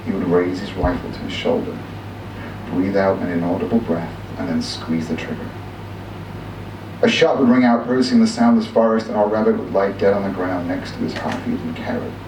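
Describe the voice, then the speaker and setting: A man's voice: sits low, moderate pace, voice pushed down, lightly acted. A man performing a pompous big-game hunter, echoey room. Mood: hushed, reverent. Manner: pompous, theatrical, old-fashioned diction. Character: obsessive, boastful aging male big-game hunter, bald, wistful. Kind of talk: dramatic storytelling monologue read aloud. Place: live reading in a room. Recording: echoey room from a distance, noisy.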